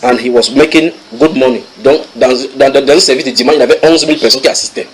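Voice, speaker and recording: A man preaching a sermon to a congregation, reading English passages aloud and translating them with lively asides to his listeners. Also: mid-range voice, fast talker, low-quality recording. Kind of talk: sermon teaching.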